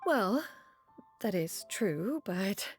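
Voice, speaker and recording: A woman's voice: medium pitch, sing-song, extremely slow, soft background music, full character voice. A woman playing a proud, learned and dignified lady in a video game, with haughty, formal, old-fashioned diction. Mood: reserved, resigned.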